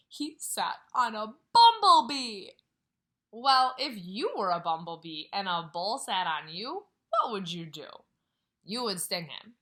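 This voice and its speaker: A woman who teaches kindergarten, reading a picture book aloud at home and stopping to ask the children questions, sometimes dropping to a whisper. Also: mid-range voice. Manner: expressive storytelling with questions to children, drops to whispers. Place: at home, near a couch.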